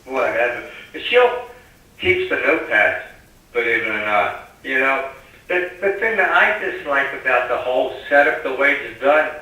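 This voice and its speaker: A man's voice: mid-range voice, lively intonation, normal speed, pushed and bright. A man chatting on amateur radio in an emphatic, opinionated, complaining way. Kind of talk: casual opinionated chat. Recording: very echoey, distant radio link.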